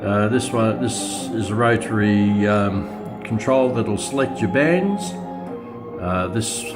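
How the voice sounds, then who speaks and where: A man giving a casual, unscripted product demonstration as a reviewer, hesitant and halting as he thinks aloud. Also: on the low side, over loud music. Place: outdoors or by a window in bright daylight, filming a radio.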